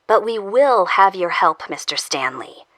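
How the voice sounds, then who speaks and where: A woman acts out a government interrogator in an audio drama. She is formal, curt and pressing, with a sharp, bright tone. Her pitch moves in an animated way as she insists. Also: unhurried. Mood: insistent, threatening. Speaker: voice actor. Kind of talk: audio fiction drama dialogue.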